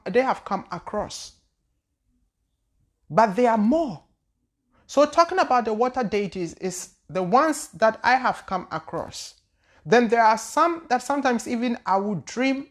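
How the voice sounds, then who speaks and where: A woman speaks as a spiritual teacher answering her audience in a casual, unscripted talk. Her voice sits at an ordinary middle pitch for a woman. She circles back on her words, repeating them and pausing as she searches for what to say next. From Ghana. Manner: loose, searching delivery with frequent repeated words and hesitations. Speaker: spiritual teacher and channel host. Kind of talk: casual spoken talk answering viewer questions.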